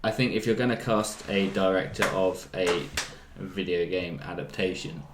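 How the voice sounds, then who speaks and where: A man's voice: deep voice, fairly even intonation, moderate pace, a crowd in the background. A man co-hosting a podcast in casual discussion, giving his opinions and rephrasing his points as he goes. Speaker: podcast co-host. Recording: echoey room with background noise.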